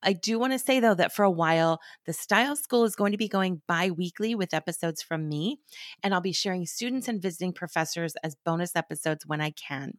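A woman's voice: lowish voice, fairly quick, no echo, clean studio sound. Upbeat woman host, slightly scratchy. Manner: upbeat, friendly, chatty. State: a little scratchy after a cold. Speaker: podcast host, stylist. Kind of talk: scripted podcast talk.

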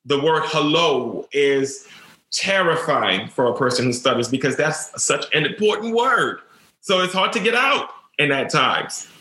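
Teacher, male, stutters and repeats words, earnest, bright tone in a roomy space. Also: a little high, expressive, a little slow. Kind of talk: personal storytelling interview.

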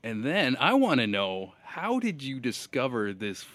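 A man's voice: medium pitch, huge pitch swings, measured pace, a bit bright, no room sound. A man hosting a podcast, talking casually with slangy, profane wording in a studio. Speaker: podcast host. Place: podcast studio attached to a bar.

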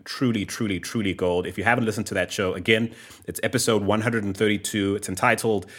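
A man hosting a podcast interview, lively and reading out guest bios at length. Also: on the low side. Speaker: podcast host.

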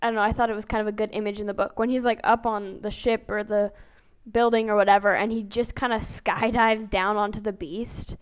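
A woman's voice: mid-range voice, flat delivery, fairly quick, clean tone. A woman in a casual podcast fan discussion, who explains things by acting out imagined quotes.

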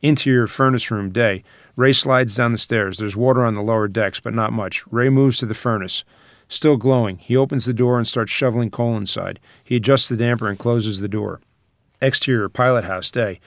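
A man's voice: medium pitch, normal speed, no room sound, studio quality. A man reading out screenplay scene headings and stage directions as a scripted narrator.

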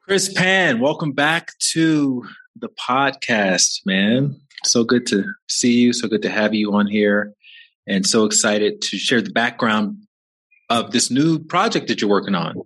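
A man's voice: mid-range voice, animated, measured pace, fairly bright tone, low-quality recording. A man hosting his own podcast, giving an earnest, reflective introduction before an interview. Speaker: podcast host.

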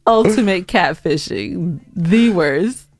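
A woman's voice: on the low side, expressive, slow pace, no echo. An American woman co-hosting a podcast, bantering casually in a blunt, teasing, opinionated way, close on the microphone. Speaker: podcast co-host.

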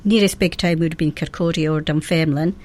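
A woman in her seventies with a Scottish accent from Fife, a radio guest who talks with many pauses and little laughs. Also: sits low, steady tone, a little mellow. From Scotland. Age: 70s. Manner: hesitant, many ums, laughs often. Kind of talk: radio interview.

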